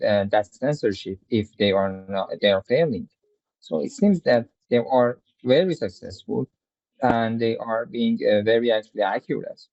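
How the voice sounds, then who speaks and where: A man's voice: medium pitch, darkish tone, no echo, lo-fi sound. A man reporting in a news interview, hesitant and stumbling over words.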